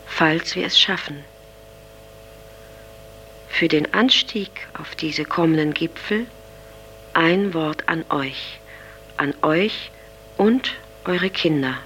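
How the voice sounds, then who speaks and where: A gentle, solemn voice from the past recites verse. The delivery is extremely slow and deliberate, with long pauses, over a faint noisy hum. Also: medium pitch. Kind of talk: slow poetry recitation in an audio drama.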